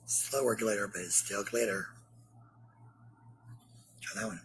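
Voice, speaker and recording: A man's voice: on the low side, sing-song, a little slow, gravelly, a bit bright, slight background noise. A man chatting casually and playfully to his viewers in short remarks. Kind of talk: casual playful livestream chat.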